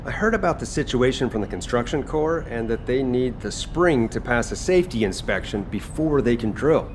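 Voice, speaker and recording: An actor playing a reluctant, casual chef fighter in a video game, informal and given to grumbling. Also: male voice, medium pitch, lively intonation, normal speed, noisy background, natural voice. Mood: easygoing.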